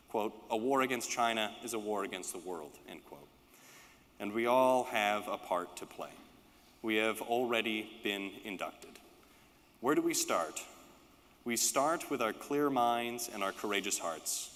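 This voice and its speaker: A man delivering a scripted political speech in an emphatic, deliberate manner, phrasing his points in short rhetorical lists. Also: lowish voice, fairly bright tone. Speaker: speaker reading a panel address. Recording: long hall-like reverb.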